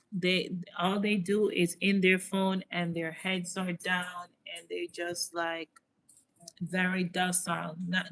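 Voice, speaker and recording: A woman's voice: medium pitch, a bit bright. A woman with a slight Jamaican accent chatting casually on a livestream, reading out viewer comments and answering them. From United States. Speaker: host.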